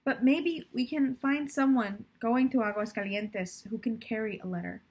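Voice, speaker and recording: A woman voicing a caring, steady female family friend in a storybook, gently explaining and consoling. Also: a little high, normal speed, husky. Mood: concerned, explaining.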